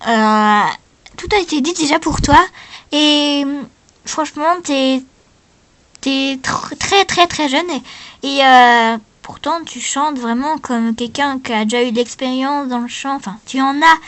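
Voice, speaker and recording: A woman voicing gushing female talent-show characters in an amateur doll play, improvising with hesitant, repetitive, halting phrasing. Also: sits high, fairly bright tone. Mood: awed, hesitant.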